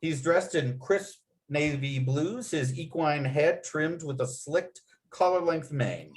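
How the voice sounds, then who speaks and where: A man's voice: on the high side, expressive, slow. A man acting as narrator at a table read, reading out script and stage directions.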